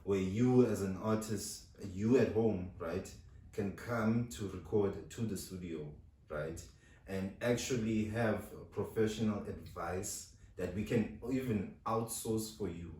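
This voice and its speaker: A man's voice: fairly low pitch, a little slow, dark tone, heavy reverb, far from the mic. A man from South Africa co-hosting a podcast in casual chat, who stutters and restarts his words. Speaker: podcast co-host. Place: recording studio.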